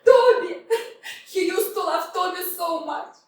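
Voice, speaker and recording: An actress playing a proud, grieving young widow in a staged reading, full of theatrical declarations. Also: female voice, very high voice, measured pace, distant mic, slight background noise. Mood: wistful. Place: small community theater stage. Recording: echoey stage picked up from afar.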